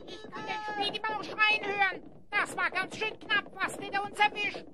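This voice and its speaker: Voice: extremely high pitch, level tone, moderate pace, background noise. A performer voicing a small, cheeky, grumbling, always hungry sidekick creature in a German audio play. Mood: curious, excited.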